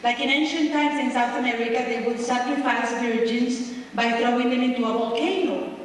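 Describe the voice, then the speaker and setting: A woman's voice: fairly high pitch, steady tone, brisk, slight background noise. A woman from Nicaragua with a Nicaraguan Spanish accent, performing a brash, teasing stand-up comedy set in front of a live audience. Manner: brash, teasing, confessional punchlines. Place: large hall with live audience. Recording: distant, echoey home VHS tape of a live hall.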